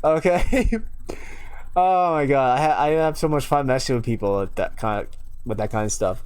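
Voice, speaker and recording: A man's voice: mid-range voice, darkish tone. A young man from New York chatting casually and rambling on a live stream. He often loses his train of thought, chuckles a lot, and has a slight unusual lilt left from a childhood speech impairment. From United States (New York). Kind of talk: casual rambling chat to viewers.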